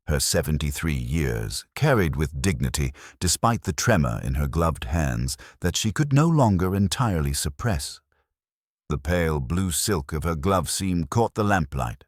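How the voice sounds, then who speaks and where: A man's voice: sits low, no echo, studio quality. A man reading a scripted mystery story with ornate, dramatic storytelling.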